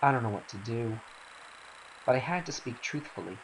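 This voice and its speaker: A man's voice: mid-range voice, animated, normal speed, natural voice, rough recording. A man playing a troubled, principled young warrior in a game scene, earnest and hesitant. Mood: anguished, reluctant. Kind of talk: dramatic reading of game cutscene dialogue. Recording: faint hiss.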